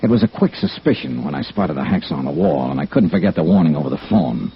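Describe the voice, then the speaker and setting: A man's voice: very deep voice, sing-song, quick pace, background noise, full character voice. A man playing a shrewd, plain-spoken insurance investigator in old-time radio noir, clipped, wry and conversational. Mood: suspicious, intent. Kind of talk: old-time radio noir acting and first-person narration. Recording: dull old radio recording with music.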